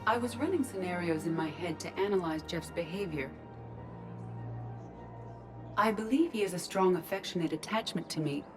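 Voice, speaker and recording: A woman's voice: on the low side, natural voice. An actress playing a literal-minded female AI in a video game, precise, formal and matter-of-fact.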